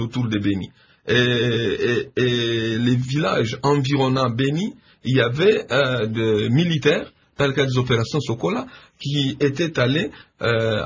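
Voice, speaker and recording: Gravelly husky man on radio. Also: medium pitch. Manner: hesitant, many fillers, measured. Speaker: civil society leader, interviewed guest. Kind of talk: phone-in radio interview answers. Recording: dull lo-fi radio line.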